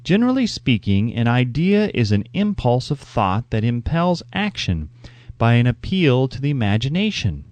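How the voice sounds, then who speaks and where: A man's voice: medium pitch, a little mellow, no room sound. A man reading a scripted audiobook as narrator, with an emphatic, instructive delivery.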